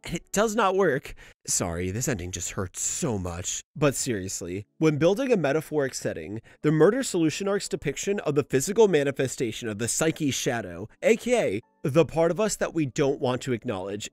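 Male video essay narrator, slightly high voice, lively intonation, conversational and opinionated delivery. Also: no room sound. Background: background music.

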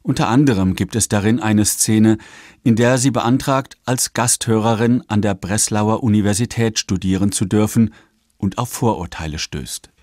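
Measured male narrator, very dry studio sound. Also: fairly low pitch, a little mellow, dry recording. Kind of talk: scripted radio documentary narration.

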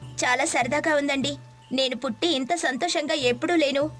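A woman voicing an eager, delighted young city-bred wife in an animated moral story, with music behind her. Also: high voice, moderate pace. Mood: playful.

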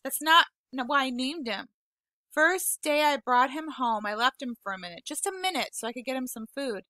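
A woman's voice: high-pitched, expressive, moderate pace, sharp tone, dry recording. A woman reading the part of a frustrated, tearful twelve-year-old boy who pushes back defensively. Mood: hurt, insistent. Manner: raw, defensive, voice rising in protest.

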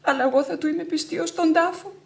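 Young widow in a radio play, super high voice, dramatic, stubborn, lamenting. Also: female voice, steady tone, vocal fry, a little mellow. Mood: defiant grief. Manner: theatrical, imperious, lamenting. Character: melodramatic young widow, proudly devoted and stubborn. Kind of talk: Greek radio theatre acting.

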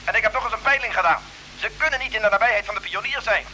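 A man's voice: high-pitched, racing, pushed and bright. An actor in an old Dutch radio drama playing a male space explorer speaking over the radio link. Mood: sober, concerned. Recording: noisy old radio recording.

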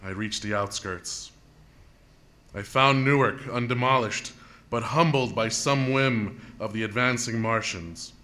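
An actor playing a weary male survivor of a Martian invasion, narrating solemnly and deliberately in a radio drama. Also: medium pitch, bright, forceful tone. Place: planetarium dome theater, live performance.

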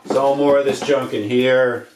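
A man's voice: medium pitch, steady tone, a little slow. An American man, around his forties, giving a casual hands-on demonstration in an offhand, slangy, rambling way. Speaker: DIY homesteading presenter. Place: indoors, living room by wood stove. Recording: roomy echo, noise of handled objects.

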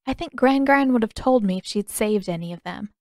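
A woman's voice: a little high, animated, brisk, a little mellow, no room sound, clean studio sound, close to an everyday voice. A woman voicing a spirited, defensive teenage girl in an audio story, earnest and a bit testy.

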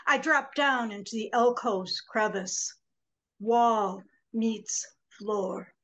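A woman in her sixties giving a measured, dramatic poetry reading over a video call from a room at home. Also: on the high side, a bit bright. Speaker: poet. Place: small home room with bookshelves. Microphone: computer mic on a video call.

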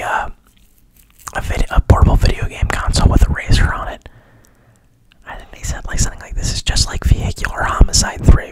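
A man recapping a film in a soft whisper, rambling, swearing casually and pausing with fillers. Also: high voice, slightly rough, no echo, poor audio. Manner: rambling, profane, hesitant.

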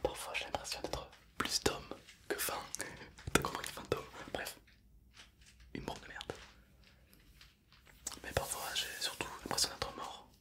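A whispering zombie, played by a young man, rambles in a close ASMR roleplay. He is hungry for brains and playfully savors every gruesome detail, all in soft, breathy whispers. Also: a little slow, pauses often, close to an everyday voice. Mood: playful, rambling. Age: 20s.

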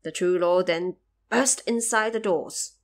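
A woman's voice: fairly low pitch, unhurried. A woman narrating an audio drama in a scripted storytelling style.

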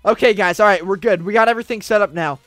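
High-voiced upbeat male gaming streamer. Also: fast talker, no echo. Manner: upbeat, shouts commands in roleplay. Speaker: gaming YouTuber. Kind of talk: roleplay gameplay commentary. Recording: faint background music.

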